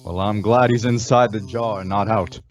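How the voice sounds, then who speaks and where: An actor playing a calm masked cowboy hero, speaking in a measured, reassuring way in an old-time western radio drama. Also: male voice, lowish voice, a little hiss or hum, close to an everyday voice. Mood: curious.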